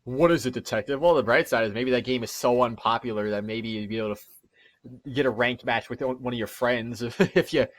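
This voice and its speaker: A man's voice: medium pitch, a little fast. A man hosting a casual let's-play, chatting, giggling and reading game dialogue aloud. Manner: giggly, reads lines aloud in put-on voices. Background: game music.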